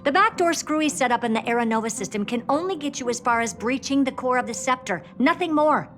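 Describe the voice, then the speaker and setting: Game role: sassy young female genius, high voice, casually superior, music clearly behind. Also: animated, fast, a bit bright. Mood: warning, blunt. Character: cocky, blunt young female genius, flippant. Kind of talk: scripted game character dialogue.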